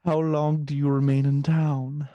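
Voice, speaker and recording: A man's voice: a little high, little pitch movement, unhurried, no echo, highs cut off, close to an everyday voice. A man reading an affected, self-possessed young upper-class lady in love, with formal, gushing theatrical delivery, in a stage comedy. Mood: affected, romantic.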